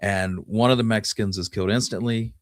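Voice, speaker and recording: Male podcast host, slightly low voice, folksy and conversational history storytelling, very dry sound. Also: fairly even intonation, fairly quick, no echo. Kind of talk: casual storytelling, Wild West history talk.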